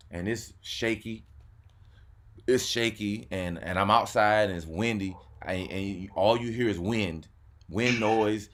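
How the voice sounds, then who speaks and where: Male host, very low voice, relaxed chatty delivery peppered with "man". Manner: laid-back, repeats words, says "man" a lot. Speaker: YouTube car channel host. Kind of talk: casual chat.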